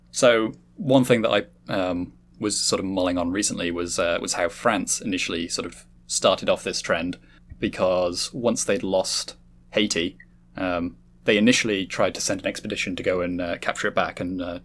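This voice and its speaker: A man's voice: low-pitched, steady tone, normal speed, a bit bright. A man hosting a casual podcast discussion, talking in a wordy, explanatory way. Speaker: host leading a discussion.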